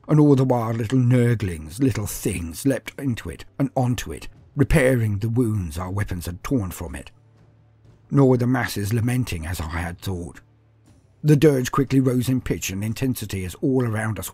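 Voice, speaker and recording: A man's voice: fairly low pitch, warm tone, breathy edge, no echo. A man voicing a grim armoured space marine who recounts battle in a solemn, martial, disdainful storytelling manner. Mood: grave, intense. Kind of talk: first-person dramatic storytelling.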